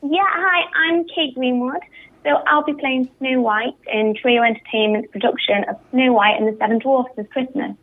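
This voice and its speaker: Young northern english lass, high-ish voice, excited chatty interview down a tinny phone line. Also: female voice, moderate pace. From northern England. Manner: enthusiastic, upbeat. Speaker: interviewed pantomime actress. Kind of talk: casual radio interview. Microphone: telephone.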